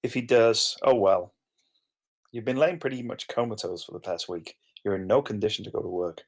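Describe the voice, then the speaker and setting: A man's voice: lowish voice, moderate pace, close to an everyday voice. A man playing a drained, concerned carer in a plain, conversational screenplay table read. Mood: frustrated, firm. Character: Kai, drained, concerned man caring for a grieving friend.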